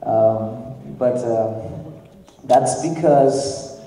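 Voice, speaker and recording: Man in his forties, slight Nepali accent, mellow dark voice, far off in a booming hall. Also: a little hiss or hum. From grew up in Nepal. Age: 40s. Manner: relaxed, halting, many fillers. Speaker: band leader introducing the group. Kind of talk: casual stage talk to a congregation. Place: large church hall.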